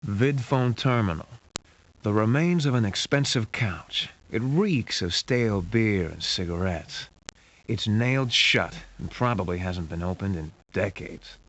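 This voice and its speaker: A man's voice: lowish voice, lively intonation, a little slow, a bit bright. A man playing a wry hard-boiled detective who narrates a sci-fi adventure game in a dry, dramatic, scripted noir style. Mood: ominous, matter-of-fact. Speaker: game narrator and lead character. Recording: muffled lo-fi game audio with hiss.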